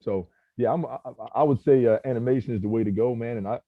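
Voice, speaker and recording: A man's voice: medium pitch, dry recording. A man in a casual feedback chat, stumbling through restarts and dropping "man" into nearly every sentence. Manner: halting restarts, keeps saying "man". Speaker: cast member of a table read. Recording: dull, dry lo-fi audio.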